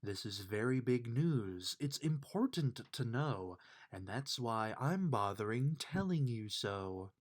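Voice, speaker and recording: A man reading a rhyming children's bedtime book aloud with measured, emphatic storytelling delivery. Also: medium pitch, lively intonation. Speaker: storybook reader.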